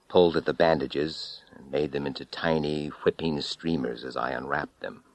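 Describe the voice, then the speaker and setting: Man, low-pitched, dry close sound, dramatic horror audiobook narration, intense, theatrical. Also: dry recording. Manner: theatrical, intense, swinging from hushed to loud. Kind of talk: dramatic first-person horror story narration.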